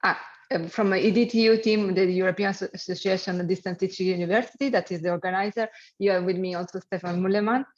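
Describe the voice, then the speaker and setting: A woman with an Italian accent, a native Italian speaker, hosting an online webinar in halting speech with many fillers and light jokes. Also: mid-range voice, fairly even intonation. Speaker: webinar host and program manager. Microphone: video call. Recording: lo-fi video call audio.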